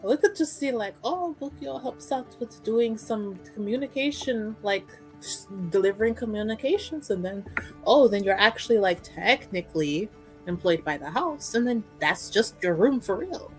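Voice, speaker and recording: A woman roleplaying a kind, proper young noblewoman with a gentle, explaining manner in a tabletop fantasy game. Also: on the high side, expressive, normal speed, soft background music, close to an everyday voice, poor audio. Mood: helpful.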